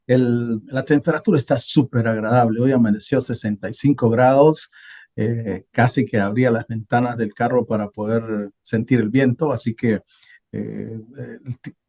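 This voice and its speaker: A man's voice: medium pitch, steady tone, moderate pace, warm tone. A man from Honduras co-hosting a podcast, chatting casually about Bible passages and thinking aloud with frequent hesitations. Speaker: podcast co-host.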